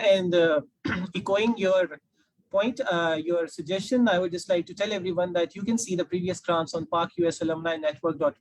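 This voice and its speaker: A man's voice: on the high side, dry recording, rough recording. A man with a Pakistani accent moderating an online panel in a polite, affirming way.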